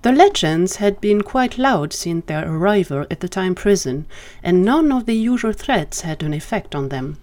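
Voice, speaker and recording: A woman's voice: fairly low pitch, expressive, moderate pace, hardly any pauses, a little mellow. A woman reading scripted fiction as a storytelling narrator. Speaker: narrator of fan fiction audio drama.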